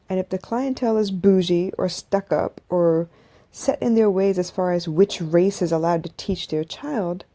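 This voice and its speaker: A woman's voice: lowish voice, warm tone. A woman in her thirties hosting a podcast, talking to listeners in a casual, reflective monologue with asides. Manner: conversational, reflective, with restarts and asides. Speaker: podcast host. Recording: live audio stream from a phone.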